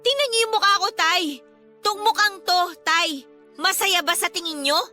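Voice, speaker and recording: A woman's voice: extremely high pitch, moderate pace, sharp tone. A Filipino woman playing a frustrated, outspoken eldest daughter in a radio drama, indignant and emphatic in her delivery. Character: frustrated, outspoken adult eldest daughter, dutiful but hurt. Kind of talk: Tagalog radio drama acting. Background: background music.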